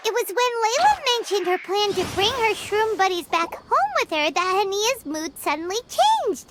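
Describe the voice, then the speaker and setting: A woman voicing a tiny, excitable, cheerful childlike companion in a video game, eager and earnest. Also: very high voice, moderate pace, background noise. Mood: excited. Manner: exclamatory, eager, speaks in a cute childlike way.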